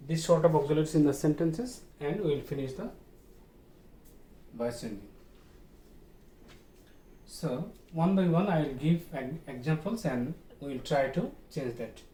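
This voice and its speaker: Slow Bangladeshi male teacher in echoey room. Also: a little high, dark tone. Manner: deliberate, repetitive, checking in with pupils. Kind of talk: classroom grammar lesson. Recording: echoey, distant mic, noisy room.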